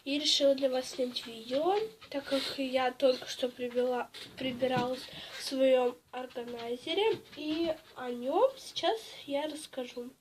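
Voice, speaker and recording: A teenage girl with a cold and a hoarse voice, casually showing her things to the camera in a vlog, talking haltingly with restarts. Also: high-pitched, background noise. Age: teens. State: sick, hoarse voice. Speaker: vlogger. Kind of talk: casual show-and-tell vlog. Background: background music.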